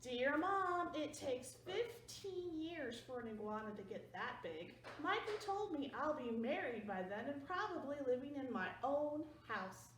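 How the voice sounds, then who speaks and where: An eager little boy pleads and bargains in a lively, high voice, as a woman reads his letters aloud. The voice sounds roomy and a bit distant. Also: unhurried, hardly any pauses, slight background noise, exaggerated voice. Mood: pleading. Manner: pleading, earnest. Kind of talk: picture book read-aloud.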